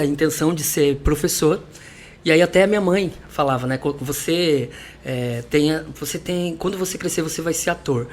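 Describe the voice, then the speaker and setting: A man's voice: fairly high pitch, a little hiss or hum. A man from Salvador, a musician and teacher, telling his story as a podcast guest in a chatty, reflective way with light laughs. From Salvador, Brazil. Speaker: musician and vocal coach guest. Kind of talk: podcast interview.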